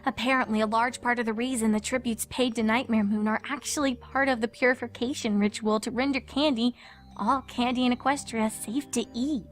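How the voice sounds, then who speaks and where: A woman's voice: a little high, fairly even intonation, fairly quick, lightly acted. A woman voicing a serious, studious young female cartoon unicorn pony who explains things earnestly, in a dramatised fan fiction reading. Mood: bookish, grave. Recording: music under the voice.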